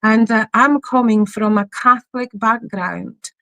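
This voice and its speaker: A woman with a Polish accent carrying a touch of Scottish, talking as a spiritual healer guest. She speaks very slowly and hesitantly, filling pauses with ums, in a slightly high voice with a dry, close sound. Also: sharp tone, no room sound. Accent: Polish with a Scottish tinge. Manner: hesitant, many ums and uhs. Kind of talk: interview guest.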